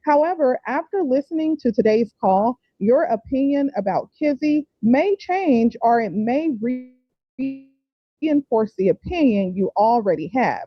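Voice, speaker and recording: Higher-voiced woman narrator, dry close sound. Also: animated, unhurried, dry recording, highs cut off. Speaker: narrator of a true-crime commentary. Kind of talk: scripted narration.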